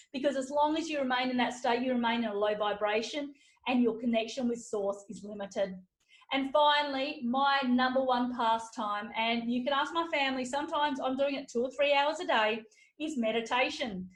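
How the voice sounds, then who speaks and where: A woman podcast host giving an upbeat motivational keynote talk, walking through numbered steps, her voice slightly hoarse. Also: sits high. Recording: roomy echo.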